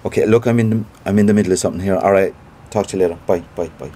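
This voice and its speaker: A man's voice: low voice, very fast, mellow. An actor playing a gossipy, self-important small-time theatre man with an Irish Cork accent, chatty and boastful in a naturalistic audio drama. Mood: distracted.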